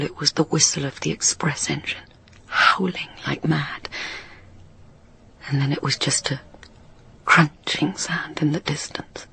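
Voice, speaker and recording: Radio drama: haunted daughter, half-whispered, unhurried, halting confession full of guilt. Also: female voice, low voice, exaggerated voice, poor audio. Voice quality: breathy, hushed. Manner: slow, halting recollection. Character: guilt-haunted daughter of a railway signalman, recalling a disaster.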